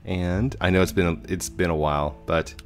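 A man in his thirties casually narrating a video game as he plays, chatty and rambling, a little hoarse and congested from a cold, on a headset mic at home. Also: low-pitched, fairly even intonation, moderate pace, soft background music. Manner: chatty, rambling, with frequent fillers. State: slightly hoarse with a head cold. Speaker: gaming channel host. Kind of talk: casual let's play commentary.

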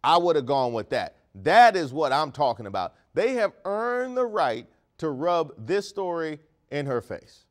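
A man in his thirties hosting a comedic news monologue on a studio set, animated and mock-outraged with jokey asides. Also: a little high, a little slow, hardly any pauses. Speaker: host.

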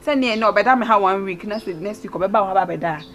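A woman's voice: mid-range voice, a little fast, fairly bright tone, natural voice. A woman with a Ghanaian accent playing a talkative character in a drama dialogue. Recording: faint ambient location noise.

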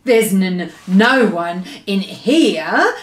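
A woman's voice: a little high, lively intonation, normal speed, lightly acted. A woman voicing a timid, nervous male giant in a children's storybook, flustered and pleading, in an echoey room. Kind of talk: children's storybook read-aloud character voice.

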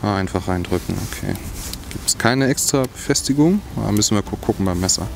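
A man's voice: fairly low pitch, lively intonation, fairly quick, creaky, background noise. A young man giving a casual hands-on review of outdoor gear, outdoors in a bare forest, thinking aloud as he tries things out.

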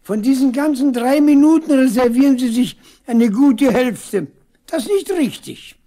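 Creaky, frail old father. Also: very high-pitched, animated, mellow, full character voice. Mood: indignant, hurt. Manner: halting, repeating himself. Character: frail, wistful old father awaiting his son. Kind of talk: 1970s East German radio play acting.

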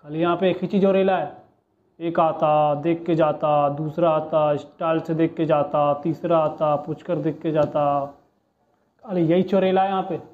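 A man's voice: a little high, level tone, normal speed, close to an everyday voice. A man acting a cheeky small-time crook with a Mumbai street accent, bargaining and pleading in a police interrogation monologue. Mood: bored, grumbling. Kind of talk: audition monologue, film-style street-slang acting. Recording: muffled, narrow phone-like sound.

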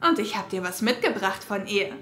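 A woman's voice: medium pitch, expressive, normal speed, a bit bright, lightly acted. A woman playing a vengeful girlfriend in a horror audio drama, taunting and gloating with false sweetness. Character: vengeful young girlfriend, mockingly sweet and cruel. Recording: echoey room, faint background noise.

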